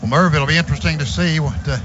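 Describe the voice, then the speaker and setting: A man doing radio play-by-play for a football game, briskly calling clock and yardage and getting louder on big plays. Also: a little high, steady tone. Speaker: play-by-play announcer. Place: stadium radio booth. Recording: muffled broadcast with loud background noise.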